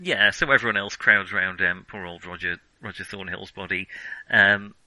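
A man's voice: sits low, fairly even intonation, normal speed, pushed and bright, poor audio. A man co-hosting a casual film discussion, talking in a hesitant, discursive way. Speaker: podcast co-host.

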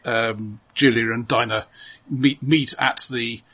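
Male film podcast co-host, mid-pitched, husky yet bright, dry and measured in casual talk. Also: steady tone. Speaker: podcast co-host reviewing films.

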